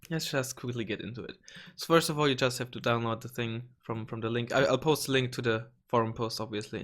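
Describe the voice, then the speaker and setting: A slightly hoarse young man hosting a casual gaming tutorial, rambling with many ums and restarts and the odd swear word. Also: mid-range voice, fairly bright tone. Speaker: gaming channel host. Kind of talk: casual screen-recorded tutorial.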